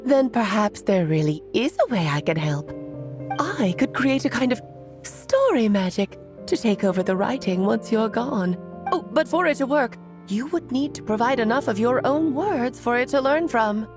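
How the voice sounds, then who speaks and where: A woman's voice: fairly high pitch, animated, moderate pace, natural voice. A woman voicing Alice, a lilting fairy-tale mage. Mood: caring. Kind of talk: story cutscene voice acting. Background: soft music.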